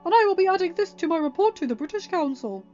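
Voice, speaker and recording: Over soft music in a slightly dull recording, a woman acts out a snobbish storybook boy. The voice is very high and forceful, sneering and whining with indignant self-importance. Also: expressive, quick pace. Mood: outraged, sneering. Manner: haughty, shrill complaining.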